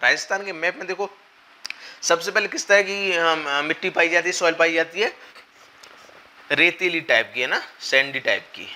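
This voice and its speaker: A young man with a slightly hoarse voice from a cold, giving a whiteboard lecture to exam students on a lapel mic, explaining step by step with frequent check-ins. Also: on the high side, normal speed, long pauses, sharp tone. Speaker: exam coaching teacher. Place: classroom at a whiteboard, indoors.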